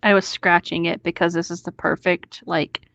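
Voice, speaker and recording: A woman's voice: lowish voice, level tone, moderate pace, vocal fry, fairly bright tone, no echo, muffled recording. A woman reading a story aloud as a co-host, informal and joking, with casual asides and free swearing.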